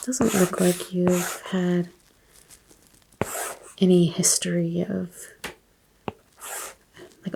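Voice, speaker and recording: A soft-spoken woman doing an ASMR doctor roleplay, asking unhurried exam questions and slipping into whispers. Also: on the low side, creaky, a little hiss or hum, rough recording.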